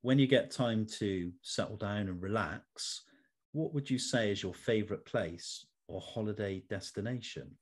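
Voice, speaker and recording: Male interviewer, lowish voice, laid-back and a little halting, reading out questions to a guest. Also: slightly rough. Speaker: interview host. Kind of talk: scripted interview questions with casual chat.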